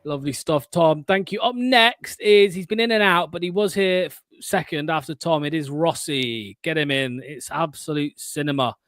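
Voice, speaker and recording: A man hosting a call-in fan show, lively and matey, calling guests mate. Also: fairly high pitch, normal speed, few pauses, no echo, studio quality. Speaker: host.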